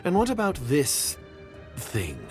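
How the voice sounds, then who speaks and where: A man voicing a wary, calculating, disdainful secret agent in a video game, with a measured, guarded, condescending manner. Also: fairly high pitch, wildly swooping pitch, music in the background, heavily acted. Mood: suspicious.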